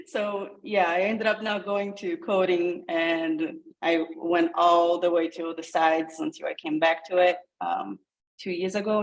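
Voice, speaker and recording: A woman with a Brazilian accent and a slightly low voice gives a casual self-introduction on a group call. Portuguese is her first language, and she talks informally as a participant. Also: a little mellow, poor audio. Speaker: coding program participant.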